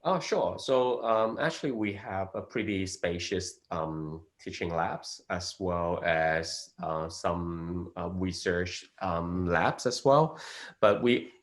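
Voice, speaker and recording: A man's voice: deep voice, takes it slowly. A man in his thirties from Hong Kong, a physiotherapy lecturer speaking with many hesitant fillers on a video call. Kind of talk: casual explanatory chat. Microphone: webcam on a video call.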